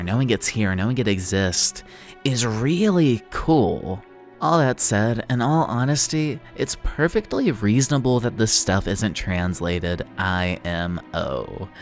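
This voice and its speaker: Lowish voiced guy doing a casual video essay rant, sarcastic and self-deprecating, bit of music behind him. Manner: sardonic, self-deprecating, informal with swearing. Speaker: online video essayist, host of the channel. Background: background music.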